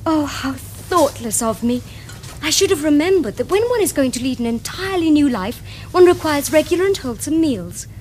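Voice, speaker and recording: High-voiced young Victorian lady. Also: animated, moderate pace, a little mellow. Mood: sweetly solicitous. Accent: English. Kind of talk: period stage comedy acting. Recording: faintly noisy old TV drama.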